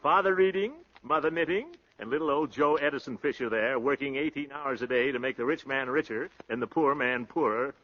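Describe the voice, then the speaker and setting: An actor playing a brash, boastful young suitor in a 1930s radio comedy, talking in pompous, florid slang. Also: male voice, mid-range voice, brisk, few pauses, natural voice. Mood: showy. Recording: dull, lo-fi 1930s radio recording.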